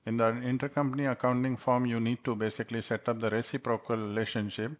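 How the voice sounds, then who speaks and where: A man presenting a software tutorial as an instructor, speaking haltingly with frequent hesitations. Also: medium pitch, little pitch movement, highs cut off. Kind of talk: tutorial presentation over slides.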